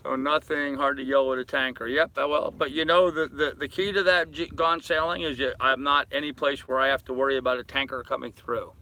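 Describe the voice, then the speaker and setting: A man's voice: medium pitch, fairly even intonation, brisk, few pauses, bright, forceful tone, poor audio. An older man hosting a casual live chat from a boat, rambling as he reads and answers comments and addresses viewers familiarly. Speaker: live-stream host. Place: aboard a boat at anchor.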